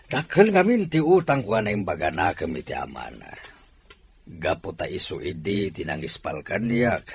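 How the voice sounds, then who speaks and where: A man's voice: lowish voice, sing-song, normal speed, slightly rough, full character voice. A middle-aged man playing an admonishing father in an audio drama, speaking expressively. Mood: earnest, admonishing. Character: father urging his married son to keep peace. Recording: faint background noise.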